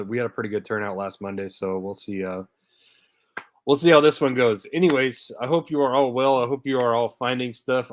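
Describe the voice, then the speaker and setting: A man in his thirties hosting a live diecast car stream, stuffy from a head cold and chatting casually as he greets viewers by name. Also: medium pitch. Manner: laid-back, chatty, greets viewers by name with little laughs.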